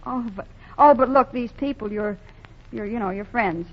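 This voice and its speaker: An actress playing a plucky, modest young working woman with a brisk, wry, teasing manner, in a 1940s radio play. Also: a little high, lightly acted. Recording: muffled 1940 radio broadcast.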